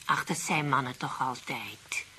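An actress playing an elderly, cynical widow in a radio play, grumbling in a matter-of-fact, gossipy way with a creaky, raspy voice. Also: female voice, a bass voice, unhurried, bright, forceful tone, a little hiss or hum, heavily acted, poor audio. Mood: dry, cynical. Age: older. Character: dry, cynical elderly widow who distrusts men.

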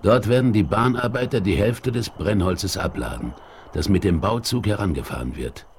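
An actor playing a calm, resolute frontier hero in a radio play, authoritative and courteous, with a husky voice. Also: male voice, lowish voice, normal speed, a little hiss or hum, lightly acted.

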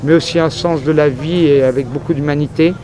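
A man's voice: fairly high pitch, fairly even intonation, fairly quick. A man from France talking frankly in a street interview, rambling through a long story with many fillers. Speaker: journalist. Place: outdoors on a busy city street. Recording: crowd noise outdoors. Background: crowd and traffic.

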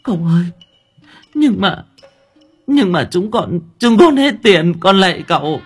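A man's voice: high voice, big pitch swings, unhurried, breathy edge, soft background music, pitched above the performer's own voice, heavily acted. Man putting on a begging old peasant woman. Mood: desperate. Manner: tearful begging, stammering. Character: poor elderly peasant woman, pleading, desperate.

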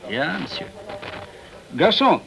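Courteous, talkative journalist. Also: male voice, high voice, big pitch swings, takes it slowly, gravelly, sharp tone, full character voice, poor audio. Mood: sociable, courteous. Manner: courteous and chatty, peppered with French words. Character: polite, talkative French journalist in the tropical West Indies. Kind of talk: Dutch radio play acting.

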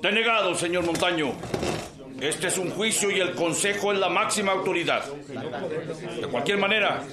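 Man playing cold military judge, high-pitched, roomy, crowd behind, radio drama. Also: moderate pace, close to an everyday voice. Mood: cold, dismissive. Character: cold, authoritarian male war-council judge. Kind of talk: Spanish-language radio drama acting. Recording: echoey room with crowd.

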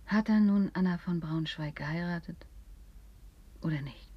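A woman acting a sober, questioning companion in a German radio play, with a measured, dry delivery. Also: fairly low pitch, breathy edge, dull audio. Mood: quiet, pensive.

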